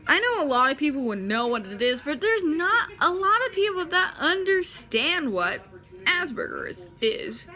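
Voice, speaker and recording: Young woman, nineteen, high-pitched, lively, rambling casual vlog talk. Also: unhurried. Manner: rambling, self-correcting, chatty. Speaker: vlogger. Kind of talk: casual talking-head explainer.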